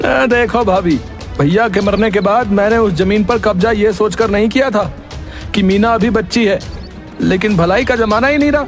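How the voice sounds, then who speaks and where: Scheming village brother-in-law voiced by a man, high pitch, insistent and self-righteous, cartoon moral tale, faint music behind. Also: normal speed. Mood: aggrieved, demanding. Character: greedy scheming brother-in-law claiming a widow's land. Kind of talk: animated moral-story dialogue.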